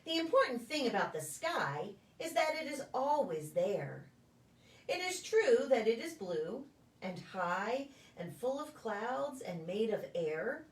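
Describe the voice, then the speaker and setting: A woman reads a picture book to small children in a sing-song storytelling style. Her voice sits a little high and swings widely in pitch. She is heard from a bit of a distance from the microphone, in a deliberate delivery meant for preschoolers. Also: big pitch swings. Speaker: pastor reading stories to preschool children. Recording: echoey room, distant microphone.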